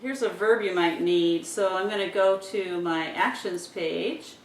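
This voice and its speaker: Echoey distant woman giving a step-by-step demonstration. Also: unhurried. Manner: explains each step aloud while demonstrating. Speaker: communication-device instructor. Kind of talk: instructional demonstration to parents. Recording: roomy echo, distant mic, rumbly noise.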